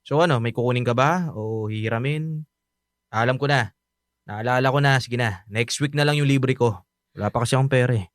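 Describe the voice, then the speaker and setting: A man's voice: mid-range voice, fairly quick, dry recording, clean studio sound, close to an everyday voice. A young man with a Filipino accent acting an evasive, teasing teenage best friend in a radio drama.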